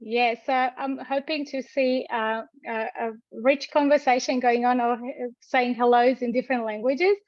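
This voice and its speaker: Casting: higher-voiced woman, lecturer hosting an online session, friendly but halting, dry muffled call audio. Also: fairly even intonation, a little mellow, dry recording. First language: Croatian. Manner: friendly, halting, with many restarts and fillers. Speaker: university lecturer hosting a webinar. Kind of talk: webinar housekeeping and introductions. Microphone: video call.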